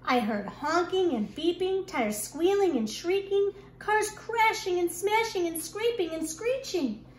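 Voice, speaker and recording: A woman kindergarten teacher reading a rhyming picture book aloud to young children in an expressive, deliberate storytelling manner. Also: extremely high pitch. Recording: echoey room with clear background noise.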